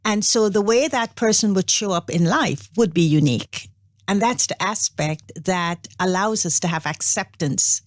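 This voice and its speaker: An older woman from Guyana, a spiritual organization's representative, telling her story in a measured, unhurried interview. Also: medium pitch, dry recording, clean studio sound. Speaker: interview guest, Brahma Kumaris representative. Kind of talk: reflective interview talk.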